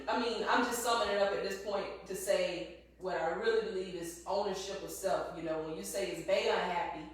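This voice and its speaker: A woman co-hosting a casual chat, animated, laughing often and breaking into exclamations. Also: mid-range voice, distant mic. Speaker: co-host. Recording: roomy, echoey from off mic.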